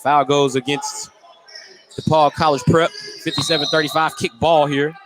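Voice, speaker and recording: A man's voice: on the high side, little pitch movement, normal speed, a bit bright, soft background music, poor audio. A man calling live basketball play-by-play as a radio announcer from a gym. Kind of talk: live sports commentary. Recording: light gym noise behind the commentary. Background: gym ambience.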